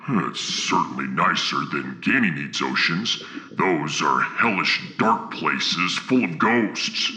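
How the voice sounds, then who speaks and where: An actor playing a solemn, fierce warrior from a harsh moon, with a gravelly voice and grave, proud, formal speech in a science fiction audio drama. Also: male voice, a bass voice, expressive, slow, sharp tone, exaggerated voice.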